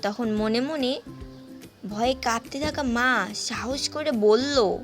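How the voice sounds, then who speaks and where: Slow-paced, higher-pitched woman storyteller. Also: soft background music. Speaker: story narrator. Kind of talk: scripted storybook narration.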